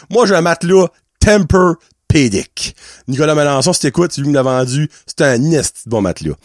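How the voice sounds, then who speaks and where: A man in his thirties with a Québécois French accent, hosting a podcast and telling a story in a casual, animated way, laughing often and slipping English words into his talk. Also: mid-range voice, dry recording, studio quality. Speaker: podcast host.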